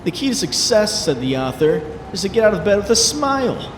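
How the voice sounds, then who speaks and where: A man's voice: high voice, sing-song, fairly quick. Man playing a brooding young superhero, echoey and noisy. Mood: brooding, wistful. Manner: introspective monologue with wry quips. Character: brooding, wisecracking young male superhero, troubled and heartsick. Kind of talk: dramatic comic-dub narration and character acting. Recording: noisy, echoey, ambience behind.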